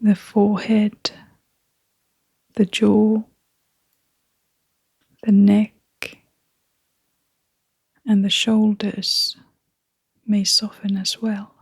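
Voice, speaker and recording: Female meditation guide: hushed and breathy, level intonation, unhurried short phrases with long gaps, very dry close recording. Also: medium pitch, monotone, no echo. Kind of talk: guided meditation, soft-spoken.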